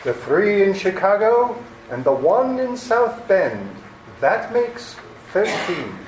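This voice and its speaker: Man acting a slow, sinister killer, echoey. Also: sits high, big pitch swings, exaggerated voice. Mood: boastful, insistent. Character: menacing, proud male murderer, cold and boastful. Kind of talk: old-time radio play acting. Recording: roomy, slightly noisy amateur recording.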